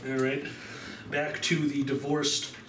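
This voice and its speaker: A man's voice: a little high, completely flat delivery, slow pace. Joking man filming in his kitchen. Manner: joking, offhand, rambling with fillers. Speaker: home cooking vlogger. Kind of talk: casual cooking demonstration. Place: home kitchen, indoors. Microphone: far camera. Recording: echoey kitchen, distant mic, loud mixer noise.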